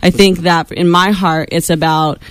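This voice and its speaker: A young woman who is a radio host, talking as a guest in a studio interview in a chatty, quick way with frequent laughs. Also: on the low side, steady tone, clear, resonant tone, dry recording.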